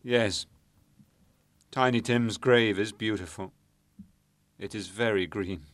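An actor playing a gentle, grief-stricken poor father in a storybook, with a trembling voice. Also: fairly low pitch, moderate pace, no echo, close to an everyday voice. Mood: grieving.

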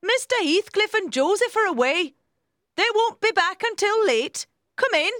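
Lady doing a chirpy housekeeper from a classic storybook, way high voice, reassuring, super dry recording. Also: expressive, a little slow, a bit bright, no room sound, heavily acted. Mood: cheerful, reassuring. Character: cheerful, reassuring housekeeper woman at a farmhouse.

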